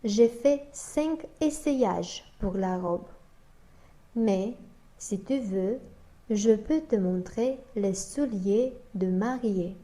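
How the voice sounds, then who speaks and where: A woman slowly reading a scripted learner dialogue aloud, naming each speaker before their line. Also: medium pitch, dark tone. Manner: slow, deliberate, reads both parts and announces each speaker.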